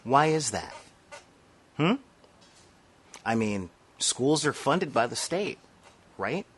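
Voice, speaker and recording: A man's voice: medium pitch, very animated, slow pace, slightly rough. A man from the United States with a nasal voice, delivering an impassioned monologue to camera, emphatic and full of rhetorical asides. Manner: emphatic, rhetorical asides, frequent "all right?". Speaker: vlogger.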